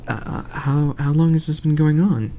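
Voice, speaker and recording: A man reading aloud in a soft ASMR bedtime style, hushed and often slipping into a whisper. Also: fairly high pitch, normal speed, slight background noise.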